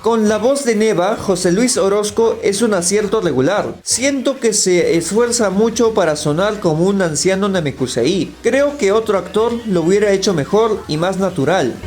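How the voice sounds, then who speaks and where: A man's voice: high voice, expressive, moderate pace, few pauses. A man narrating an opinionated scripted review. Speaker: video critic.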